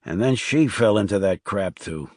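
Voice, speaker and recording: A man's voice: fairly low pitch, expressive, moderate pace, a little mellow, dry recording, studio quality. A man voicing a troubled, loyal middle-aged ex-soldier who now owns a bar, in an audiobook thriller. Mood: somber, confiding.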